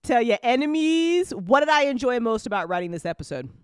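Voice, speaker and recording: A woman's voice: a little high, big pitch swings, a little fast, clear, resonant tone, no room sound, clean studio sound. A woman hosting a casual live chat as a show creator, talking in a rambling, giggly, informal way.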